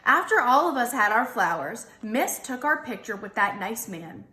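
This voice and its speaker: Female teacher storyteller: higher-pitched, expressive read-aloud for kids, recorded with noticeable room echo. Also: normal speed. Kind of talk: expressive children's storybook narration. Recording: roomy echo.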